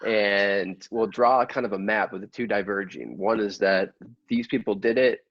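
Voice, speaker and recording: A man acting a bumbling detective in a comic radio play. His fairly low voice rambles and halts evasively, recorded dry and a bit dull. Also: fairly bright tone, dry recording. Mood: rambling, evasive. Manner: halting, rambling. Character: bumbling, evasive, easily fooled police inspector.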